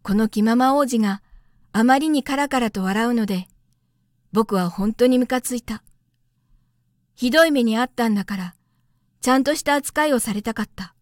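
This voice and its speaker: A woman's voice: on the high side, moderate pace, pauses often, a little mellow, no echo, clean studio sound. A woman narrating a storybook as a trained voice actor, reading from a script.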